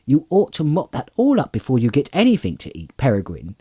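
A brisk, bossy young hobbit fellow with a cheerful lift, as a male narrator voices him. The voice sits low and dark with a husky edge, and it swings lively up and down. The reading is close and dry. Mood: cheery, bossy. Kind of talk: audiobook character voice.

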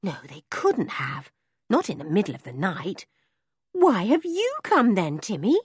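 A woman reading an audiobook voices a frightened, gentle young girl who talks in an anxious, pleading way. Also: medium pitch, huge pitch swings, unhurried, husky, dry recording, clean studio sound, exaggerated voice.

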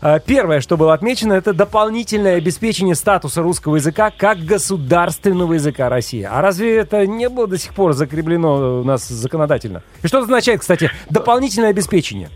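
A man hosting a radio talk show, briskly introducing topics and guests. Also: high-pitched, animated, faint music behind. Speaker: radio host.

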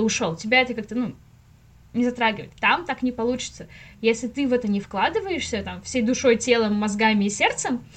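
A woman around thirty chatting casually as a podcast guest, retelling stories in slangy speech and acting out people's reactions. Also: fairly high pitch. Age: late 20s to early 30s. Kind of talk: casual chat, storytelling.

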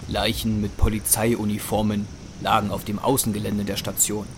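A man narrating a scripted audio drama in a measured, serious storytelling manner. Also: lowish voice, level tone, background noise. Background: music.

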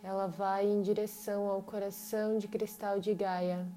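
A woman with a Brazilian accent leads a guided meditation in short, unhurried phrases separated by long pauses. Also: mid-range voice, flat delivery, mellow. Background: meditation music with singing bowls.

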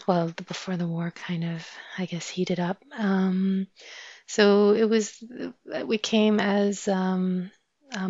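A woman from Afghanistan, a guest in an interview, telling her story thoughtfully with many pauses and fillers. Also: mid-range voice, a little mellow, no echo. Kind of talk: interview conversation. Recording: dull lo-fi sound.

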